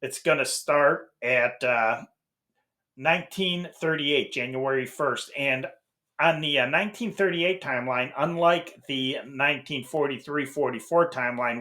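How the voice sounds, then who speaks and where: A man's voice: medium pitch, a bit bright. Middle-aged American man, rambling and casual. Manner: rambling, hesitant, often breaking off and repeating himself. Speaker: host of an old-time radio channel. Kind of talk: casual unscripted channel update.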